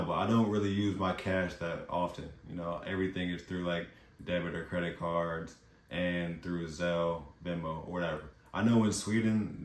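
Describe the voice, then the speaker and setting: Man from the US, young adult, low voice, casual slangy vlog talk, roomy echo. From United States. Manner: relaxed, chatty, slangy. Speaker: vlogger. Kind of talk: casual talking-head vlog. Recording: echoey room.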